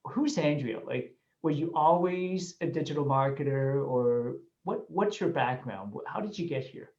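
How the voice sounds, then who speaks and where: A man's voice: a little high, normal speed, a little mellow. A man hosting a livestream interview, talking to viewers in an upbeat way and often repeating his words. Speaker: host of a Facebook Live show. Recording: echoey, muffled webcam audio.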